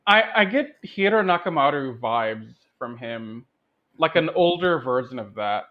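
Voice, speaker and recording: A man's voice: mid-range voice, animated, measured pace. A man co-hosting a film review podcast in a casual, conversational discussion style. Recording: dull, lo-fi voice sound.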